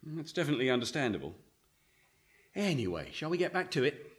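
Weary placating writer in radio drama, lively pitch swings, a bit high, hesitant. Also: male voice, a little fast. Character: reluctant, anxious male novelist, placating and weary. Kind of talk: radio play acting.

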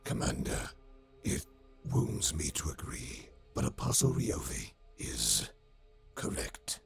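A man's voice: on the low side, gravelly, a bit bright, exaggerated voice. A man playing a cold machine adviser to a war commander in an audio drama, speaking in clipped, analytical, formal phrases. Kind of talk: scripted audio drama acting.

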